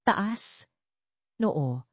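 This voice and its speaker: A woman voicing a gentle, protective foster father in an audio drama, speaking in an earnest, measured way. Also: medium pitch, lively intonation, slightly rough, no echo, voice pushed up, exaggerated voice. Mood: reassuring. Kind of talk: audio drama storybook reading.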